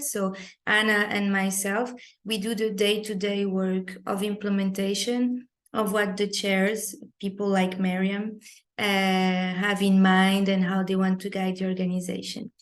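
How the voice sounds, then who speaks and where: A woman from Portugal whose first language is Portuguese, giving a polite, measured guest presentation in a video class with frequent ums. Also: mid-range voice. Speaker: guest speaker, executive director. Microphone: video call.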